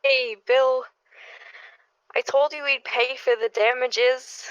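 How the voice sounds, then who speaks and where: Woman acting an earnest, high-voiced suburban neighbor. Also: fairly even intonation, takes it slowly, a bit bright, clear, resonant tone, dry recording. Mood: conciliatory. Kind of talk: radio play acting. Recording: dull lo-fi audio.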